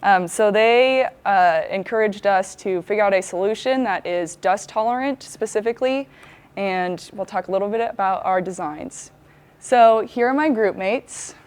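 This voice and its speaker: A woman's voice: mid-range voice, normal speed, a little mellow, smooth. A young woman student hosting a casual walkthrough of a class project in a university lab, talking upbeat and friendly. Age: young adult. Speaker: student host of the video. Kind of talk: casual presenting. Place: university engineering lab, indoors.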